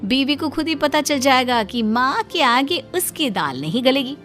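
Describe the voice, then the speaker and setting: A woman narrates a cartoon story in a scripted, wry way. Her voice sits a little higher than average. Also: sing-song, measured pace, fairly bright tone, soft background music.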